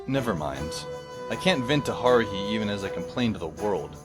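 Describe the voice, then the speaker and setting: A man's voice: fairly low pitch, expressive, a little fast, close to an everyday voice. A man narrating as a wry, sarcastic teenage boy with a dry, sardonic manner, over background music. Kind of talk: fan audio drama narration.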